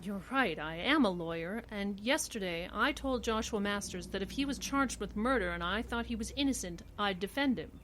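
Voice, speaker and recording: An actress playing a determined, quick-witted woman lawyer in an old-time radio detective play, assured, articulate and wry. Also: medium pitch, lively intonation, a bit bright, slight background noise, lightly acted. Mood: thoughtful, resolute. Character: determined, idealistic woman defense attorney, affectionate and quick-witted.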